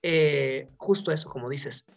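Low-voiced Mexican woman, talk host. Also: unhurried, gravelly, faint music behind. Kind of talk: informal opinion discussion.

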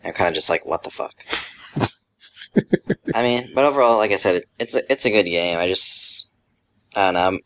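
A man's voice: sits low, moderate pace, pauses often, fairly bright tone. A man speaking as a podcast co-host in casual, informal conversation.

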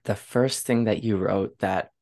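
A woman hosting a casual interview podcast, speaking with gushing, excited enthusiasm. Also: very deep voice, a little slow. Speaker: podcast host.

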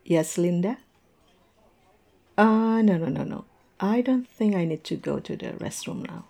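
Woman acting a mellow, creaky-voiced tipsy coworker. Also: medium pitch, lively intonation, measured pace. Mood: tipsy, playful. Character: tipsy, observant young woman chatting with coworkers over drinks. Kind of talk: intimate audio roleplay, conversational monologue.

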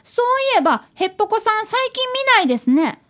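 An earnest schoolboy apprentice detective in a radio drama, played by a woman. The voice is extremely high and swings animatedly, with very polite, eager phrasing. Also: very animated, quick pace, a bit bright, full character voice. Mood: earnest, bright. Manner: polite, earnest, narrates to the listener. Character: earnest polite ten-year-old boy, apprentice detective.

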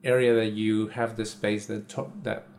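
Low gravelly man musing aloud. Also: level tone, a little mellow. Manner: halting, restarts and repeats words while thinking aloud. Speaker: podcast co-host. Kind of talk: casual chat.

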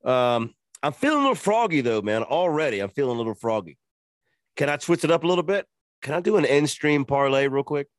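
A man's voice: on the high side, big pitch swings, brisk, no room sound, studio quality. A man co-hosting a sports betting show in loose, profane, joking banter. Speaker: podcast co-host. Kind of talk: casual sports betting chat.

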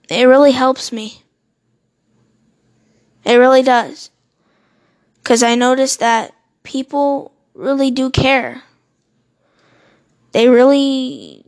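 A high-pitched young woman in her teens talks straight to her listeners. She rambles earnestly, trips over words and drops swear words and self-mocking jokes as she goes. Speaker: podcast host. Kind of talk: solo motivational podcast monologue.

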